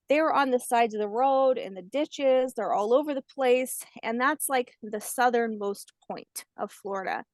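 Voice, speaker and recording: A woman's voice: a little high, no echo. A woman, a children's book author, talking casually and informally.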